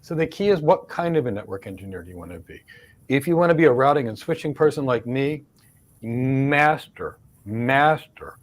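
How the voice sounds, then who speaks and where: A middle-aged man with a Philadelphia accent, hosting a live career Q&A and stressing points by repeating words. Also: mid-range voice. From United States. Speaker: host, career mentor. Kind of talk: live Q&A, answering career questions.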